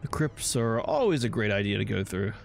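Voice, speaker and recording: A man's voice: fairly low pitch, sing-song, brisk, vocal fry. A man giving laid-back, casual gameplay commentary, chatting his way through the action and swearing now and then. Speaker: gameplay video host. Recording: game music behind the voice.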